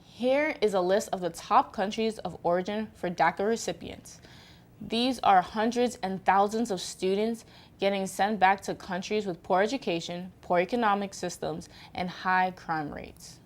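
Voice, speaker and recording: A woman's voice: mid-range voice, moderate pace. A woman co-presenting a scripted news report, reading statistics from a script.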